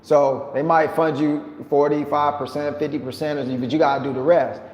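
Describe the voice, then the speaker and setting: A man vlogging casually to his viewers, talking in an animated, slangy, joking way. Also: a little high, normal speed, muffled recording. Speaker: vlogger living abroad. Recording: echoey room with long reverb.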